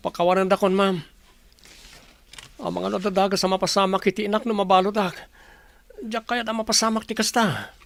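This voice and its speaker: Casting: remorseful young Ilocano Filipino man, high voice, pleading radio-drama delivery. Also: flat delivery, a little fast, creaky, long pauses, fairly bright tone, no echo. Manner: pleading, contrite.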